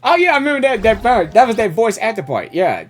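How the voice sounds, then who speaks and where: A woman game streamer giving casual, excitable live reactions and reading dialogue aloud, often repeating herself. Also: fairly high pitch, fast talker.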